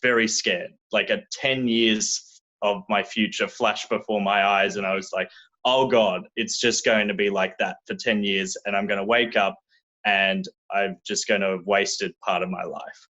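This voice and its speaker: A young Australian man with an Australian accent, telling his story in a relaxed, casual interview, often restarting his sentences. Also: on the low side, a little slow, lo-fi sound. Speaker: guest.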